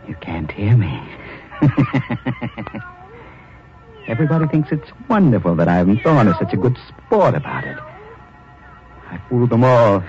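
An obsessive, brooding sculptor in old radio horror. His voice is very deep and very slow, with melodramatic menace. The old recording sounds muffled. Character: brooding, obsessive mad sculptor, jealous and cunning. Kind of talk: 1940s radio horror acting.

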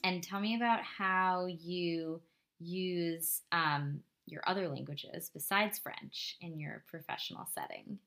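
A woman's voice: lowish voice, slow, gravelly, fairly bright tone, faint music behind. A woman interviewing a guest, asking open questions and adding short, supportive remarks. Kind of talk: casual interview.

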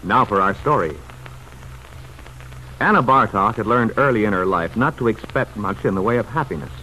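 A man's voice: lowish voice, animated, moderate pace, pushed and bright, rough recording. A man delivering dramatic scripted narration for an old-time radio story. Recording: old radio recording with faint noise.

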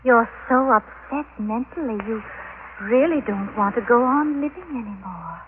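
An actress playing a coldly coaxing, insinuating older murderous sister in a 1940s radio drama. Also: female voice, fairly high pitch, lively intonation, normal speed, lightly acted. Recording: tinny, noisy old radio broadcast.

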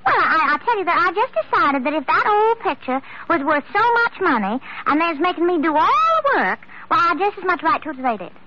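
Very high-voiced, flustered Southern belle. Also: female voice, lively intonation, fast talker, hardly any pauses. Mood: pouting. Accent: American South. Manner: flustered, breathless run-on protests. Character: indignant, naive, chattering young Southern belle. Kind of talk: 1950s radio drama acting. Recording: muffled, noisy old radio recording.

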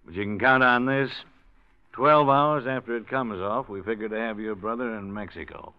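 An actor playing a cool, knowing male crook who bargains calmly, in a muffled old radio drama. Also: mid-range voice, normal speed, natural voice. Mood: menacing. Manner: cool, knowing, mildly menacing. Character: smooth, low-voiced male crook. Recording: muffled 1940s radio recording.

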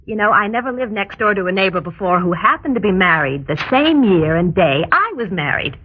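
An actress playing a bubbly, pushy but well-meaning neighbor, chirpy and coaxing in old-time radio drama style. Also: female voice, medium pitch, animated, normal speed, breathy edge. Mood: excited, coaxing. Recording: tinny, band-limited old radio recording.

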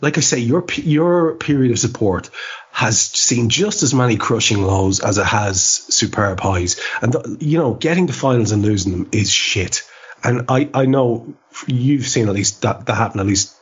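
A man hosting a football podcast in a reflective, halting, self-questioning way, often circling back over his words. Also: mid-range voice, husky. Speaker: podcast host. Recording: dull lo-fi podcast audio.

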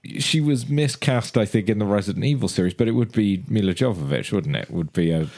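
Man, low pitch, podcast panelist, casual discussion, conversational opinions. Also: lively intonation, fairly quick, darkish tone. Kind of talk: casual discussion of films and games.

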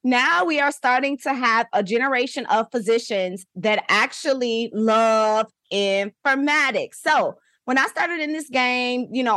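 A woman's voice: fairly high pitch, a bit bright, no echo. A woman hosting a podcast, upbeat and folksy, often calling out to her listeners. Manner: upbeat, folksy, says "y'all" a lot. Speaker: podcast host. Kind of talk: casual podcast interview.

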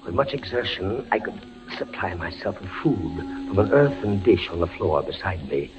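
Fevered captive captain, wildly swinging pitch. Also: male voice, lively intonation, music in the background. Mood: weary, dazed. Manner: theatrical, halting, anguished. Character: condemned captive army captain, fevered and terrified. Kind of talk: 1940s radio suspense acting, narration and dialogue. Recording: noisy, muffled 1940s radio recording.

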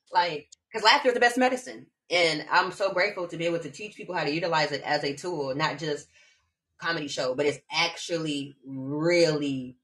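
A woman from Omaha, a laughter yoga teacher, chatting casually in an animated, slangy way. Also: low voice. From Omaha, Nebraska. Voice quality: animated, slangy, spiritual talk with bursts of laughter. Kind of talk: casual chat.

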